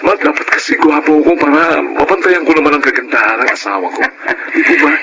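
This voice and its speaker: A man's voice: a little high, expressive, brisk, sharp tone, a little hiss or hum, poor audio. A man acting a vain, adoring young husband in a Filipino radio drama, with a Filipino accent. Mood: playful, adoring. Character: devoted young husband, vain, adoring, narrating his marriage story.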